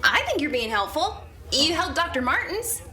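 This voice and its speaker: Woman playing a bright, animated, frank spaceship crewmate. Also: high voice, normal speed, slight background noise, heavily acted. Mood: encouraging, frank. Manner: blunt, reassuring. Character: frank, encouraging female spaceship crewmate named Sarah. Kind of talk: improvised tabletop role-play.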